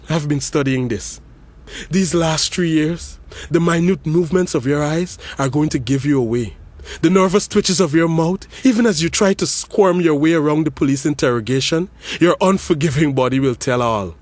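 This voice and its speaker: A man's voice: on the high side, normal speed, lightly acted. A man playing an accusing grieving brother. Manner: intense, accusing, swings from hushed to shouting. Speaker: eulogy speaker at a funeral. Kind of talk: dramatic audio story monologue.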